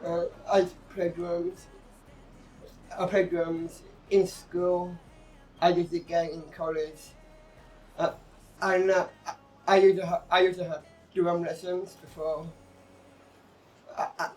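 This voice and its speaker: A man speaking casually in an interview, haltingly, often starting a phrase over before finishing it. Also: sits high, flat delivery. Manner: halting, repeats phrase openings. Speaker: actor and drummer in a stage play being interviewed. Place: outdoors on a sea front. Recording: distant mic outdoors, faint music behind.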